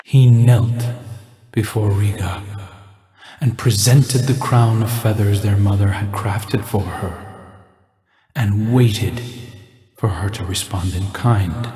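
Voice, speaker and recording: An actor playing a grave male storyteller, narrating an ancient legend in measured phrases for a video game. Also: on the low side, roomy sound. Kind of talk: slow storybook narration of a myth.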